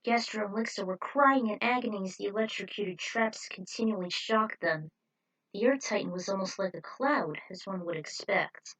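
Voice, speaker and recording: A woman's voice: medium pitch, moderate pace, fairly bright tone, poor audio. A woman reading scripted story narration as the narrator of an adventure tale. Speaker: narrator reading own fan fiction.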